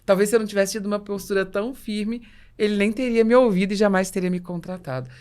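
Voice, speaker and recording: A woman's voice: mid-range voice, fast. Brazilian woman hosting a conversational podcast. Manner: animated storyteller, acting out dialogue. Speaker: podcast host and business coach. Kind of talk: conversational podcast interview with personal anecdotes.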